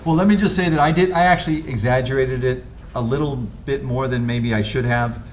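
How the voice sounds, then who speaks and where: A male presenter answers audience questions with candid, measured explanations. His pitch is ordinary for a man. A faint ambient hum sits under him. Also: fairly even intonation. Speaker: conference presenter. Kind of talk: Q&A answers at a tech talk. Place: conference room.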